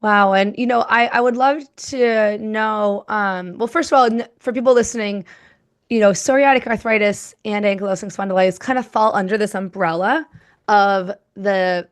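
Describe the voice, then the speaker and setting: Woman podcast host with a slightly scratchy voice; easy laugh, explains things for listeners, mid-pitched. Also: fairly even intonation, moderate pace. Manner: warm interviewer, laughs easily, explains terms for listeners. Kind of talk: casual podcast interview.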